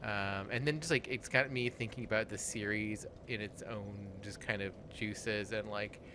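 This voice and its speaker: A man with a fairly low voice commentates casually while playing a game. He talks in halting, looping phrases with easy profanity, and there is clear noise behind him. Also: steady tone. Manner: halting, frequent swearing. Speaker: host and player of a gaming show. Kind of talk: casual let's play commentary.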